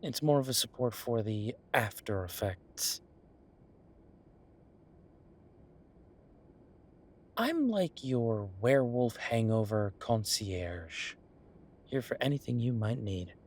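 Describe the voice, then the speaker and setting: A man playing an easygoing, devoted boyfriend who is a small-town chef, talking casually and haltingly in an intimate, reassuring roleplay audio. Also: mid-range voice, animated. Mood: tender, reassuring. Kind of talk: intimate conversational roleplay acting.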